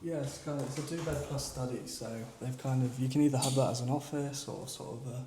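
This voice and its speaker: A man's voice: medium pitch, steady tone, fast talker. A man working as an estate agent, casually explaining a property while showing it, recorded on location in an echoey space. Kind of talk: casual property tour talk. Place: on location at an apartment building. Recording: echoey hall with chatter behind.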